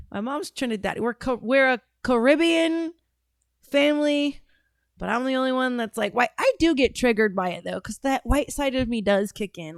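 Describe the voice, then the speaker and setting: A woman hosting a casual call-in show. Her voice sits fairly high. She talks bluntly, swears freely and laughs often, close to a dry microphone with no room sound. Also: no room sound. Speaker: podcast host taking calls.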